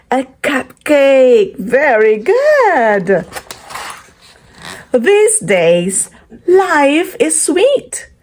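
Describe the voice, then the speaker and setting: Lady doing a kids read-aloud, really slow, super sing-song up and down, teachery, keeps asking questions. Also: high-pitched, darkish tone. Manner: teacherly, pausing to quiz and praise young listeners. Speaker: read-aloud storyteller for young children. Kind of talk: children's picture book read-aloud with questions to listeners.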